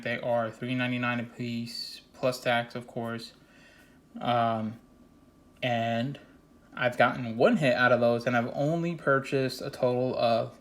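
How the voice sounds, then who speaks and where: A man showing and pricing trading cards in a casual vlog, a little congested and nasal, talking earnestly with many fillers and restarts. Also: mid-range voice. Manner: steady, earnest, repeats opinions with many fillers. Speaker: card collecting channel host. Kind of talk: casual card showcase vlog.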